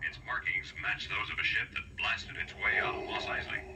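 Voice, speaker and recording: Forceful, low-voiced military officer. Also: male voice, fairly even intonation, moderate pace. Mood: urgent, formal. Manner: clipped, formal reporting. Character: stern male military officer reporting to his commander in a space film. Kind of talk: film dialogue. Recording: film soundtrack played from a record.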